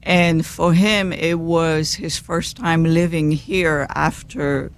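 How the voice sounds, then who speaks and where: A woman from Belgium with a Belgian French accent and French as her native language, chatting warmly as a radio guest. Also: sits low, steady tone, takes it slowly. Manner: warm, enthusiastic, with restarts. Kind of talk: casual interview chat.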